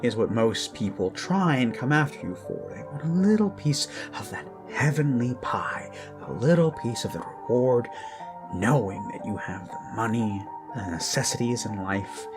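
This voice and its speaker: Man acting a slow, hushed, obsessive kidnapper. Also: medium pitch, expressive, lightly acted. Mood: brooding, intimate. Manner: hushed, halting, creepy, slipping between murmurs and sudden emphasis. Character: obsessive male yandere kidnapper, gushing, menacing, self-abasing zealot. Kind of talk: intimate ASMR roleplay monologue. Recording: background music under close voice. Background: music.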